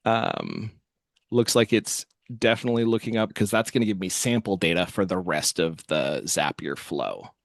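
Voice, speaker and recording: A man giving a casual software walkthrough as host, thinking aloud as he works. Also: low-pitched, sing-song, normal speed, vocal fry, dry recording, studio quality. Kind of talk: casual screen-share walkthrough.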